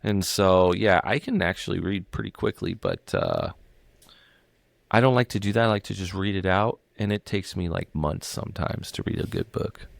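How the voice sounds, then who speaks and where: A man's voice: sits low, sing-song, normal speed, creaky, dry recording. A young adult man co-hosting a podcast, rambling in casual chat with plenty of fillers and offhand swearing. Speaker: podcast co-host.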